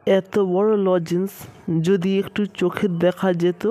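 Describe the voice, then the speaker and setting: A woman's voice: lowish voice, flat delivery, a little slow, dark tone, lightly acted. A woman voicing a naive, greedy, playful Moon character in a children's cartoon. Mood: curious, tempted. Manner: naive, exclaiming.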